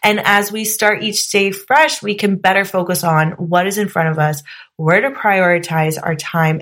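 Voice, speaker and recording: Slightly hoarse, chatty woman podcast host. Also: on the low side. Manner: candid and chatty, with many fillers like "you know". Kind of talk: casual solo podcast monologue.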